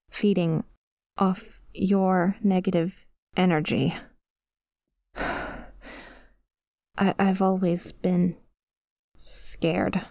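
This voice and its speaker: A woman playing a possessive but vulnerable female sleep paralysis demon in an ASMR roleplay, speaking softly and hesitantly, close to the mic. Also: fairly low pitch, dry recording. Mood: dazed, wistful, then vulnerable, anxious. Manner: hesitant, halting, tentative, confiding. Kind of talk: ASMR roleplay, intimate monologue addressed to a sleeper. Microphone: close microphone, dry.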